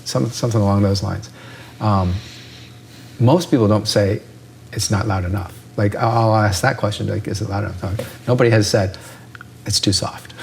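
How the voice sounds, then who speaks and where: Low-voiced man explaining like a doctor. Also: steady tone, normal speed, creaky, a little hiss or hum. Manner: explains with analogies, checks in with "right?". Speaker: ear doctor guest. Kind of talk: explanatory interview talk.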